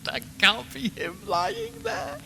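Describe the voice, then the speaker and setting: A man's voice: very high-pitched, huge pitch swings, takes it slowly, gravelly, background noise, pitched above the performer's own voice, exaggerated voice. A man playing a radio reporter losing his mind in a haunted house, ranting and muttering brokenly in an audio horror drama. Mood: unhinged. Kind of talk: audio horror drama acting, live broadcast monologue.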